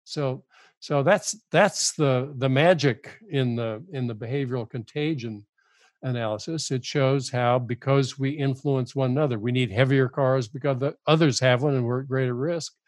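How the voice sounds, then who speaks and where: A man's voice: medium pitch, moderate pace, darkish tone, no room sound. An older man, a guest author on a podcast, making his case earnestly and often repeating words as he goes. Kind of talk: podcast interview.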